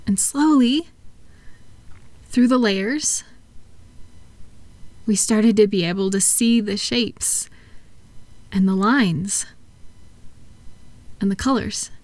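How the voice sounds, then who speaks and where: A woman acts out a gentle female therapist in a soothing audio roleplay. Her soft-spoken voice sits at an ordinary pitch for a woman, recorded dry with little room sound. She guides her patient patiently, with kind encouragement and reassurance. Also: dry recording, lightly acted. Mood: soothing, reflective.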